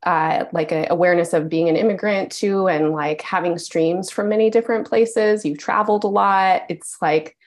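A woman's voice: fairly low pitch, normal speed, few pauses. A woman astrologer giving a casual, meandering reading of a friend's chart, affirming and full of fillers.

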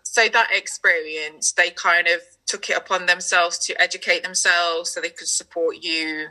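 A woman's voice: lowish voice, fairly even intonation, moderate pace, low-quality recording. A woman from the UK hosting an interview, encouraging her guest with affirmations and follow-up questions. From United Kingdom. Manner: warm and affirming, many "yeah"s. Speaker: host.

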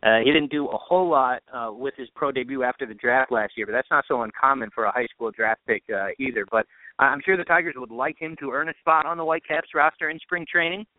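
A man speaks over a narrow, phone-like connection with no room echo. He is a podcast guest with a mid-pitched voice, giving relaxed, conversational sports analysis like an announcer off duty. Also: quick pace. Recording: tinny, band-limited phone-like line.

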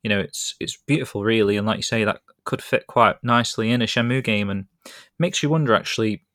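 A chatty British man hosts a casual podcast, talking in a low voice that rambles along easily. The sound is close and dry, with no room around it. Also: a little fast, a bit bright, no room sound. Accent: British English. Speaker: podcast host.

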